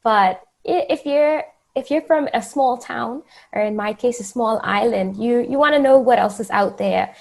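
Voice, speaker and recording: A woman from the Bahamas, speaking as an interview guest and telling stories in a casual, animated way. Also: on the high side, moderate pace, vocal fry, hardly any pauses.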